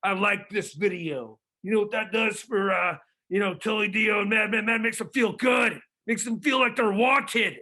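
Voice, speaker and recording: A man's voice: high voice, moderate pace, hardly any pauses, pushed and bright. A man playing a furious, boastful pro-wrestler champion who bellows insults in a wrestling-promo manner. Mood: furious ranting. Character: shouting pro-wrestler-style male champion, furious braggart. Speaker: comedy sketch character.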